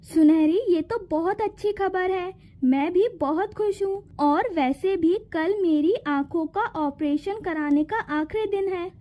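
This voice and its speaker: A woman's voice: extremely high pitch, measured pace, few pauses, dark tone. A woman voicing a worried, loving fairy mother in a cartoon, with an anxious motherly manner. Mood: glad, anxious.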